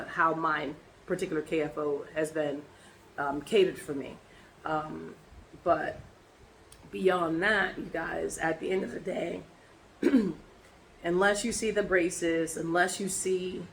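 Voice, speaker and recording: A woman with a hoarse voice from laryngitis, chatting casually in a personal vlog and rambling with many ums and restarts. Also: low-pitched, a little hiss or hum, low-quality recording. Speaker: vlogger.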